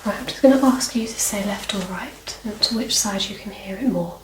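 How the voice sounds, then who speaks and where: A woman speaking softly and partly in whispers as a doctor in an ASMR medical exam roleplay, giving gentle, reassuring instructions. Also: medium pitch, fairly quick. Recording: roomy, distant mic, clear noise and clicks.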